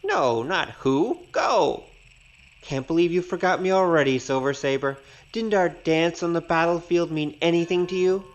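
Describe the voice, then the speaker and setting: A wisecracking wandering hero, acted by a man, in a slightly high voice. He sounds easygoing, teasing and flippant in audio drama style, on a slightly dull recording. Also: normal speed, lightly acted. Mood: cocky, taunting.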